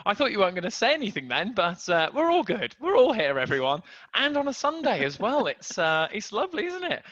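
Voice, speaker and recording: A man's voice: sits high, huge pitch swings, a little fast, a bit bright, no echo, dull audio. A man hosting a casual video podcast, joking and stumbling over restarts as he talks. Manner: chatty, jokey, stumbling restarts. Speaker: podcast host.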